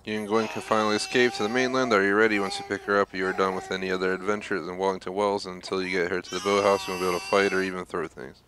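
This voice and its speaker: A man's voice: deep voice, level tone, very fast, hardly any pauses, noisy background. A man giving casual gameplay commentary as a streamer, thinking aloud and explaining as he goes. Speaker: gaming streamer. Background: loud game music.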